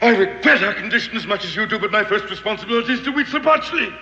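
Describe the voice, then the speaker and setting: An actor with an English accent playing a theatrical, declamatory zealot who serves a sun god. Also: male voice, high-pitched, lively intonation, rapid-fire, highs cut off, background noise. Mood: cold, condescending. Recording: echoey old TV soundtrack with score.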